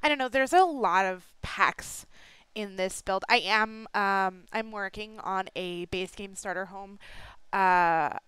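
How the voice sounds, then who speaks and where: A woman's voice: mid-range voice, slow, fairly bright tone, clean tone, dry recording. A young woman with a stuffed-up nose giving a rambling, apologetic casual voice-over, full of fillers and restarts, recorded close on a home mic. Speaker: game builder narrating a speed build.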